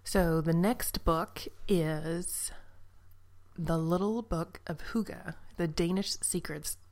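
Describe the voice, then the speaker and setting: A woman chatting casually about books she has read, rambling with fillers and asides, sometimes dropping to a soft whisper. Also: on the low side, slow. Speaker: book vlogger. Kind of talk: casual book review chat.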